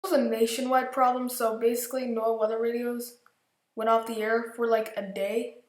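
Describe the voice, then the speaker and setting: A woman telling a story casually and informally, in a halting way with emphatic repetition. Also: fairly high pitch, little pitch movement. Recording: echoey room.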